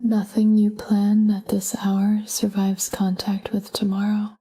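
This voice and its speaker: A woman narrating a scripted sleep meditation in a calm, gentle, whispery voice with measured delivery. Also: mid-range voice. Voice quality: breathy, whispery. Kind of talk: scripted guided sleep meditation, soft spoken.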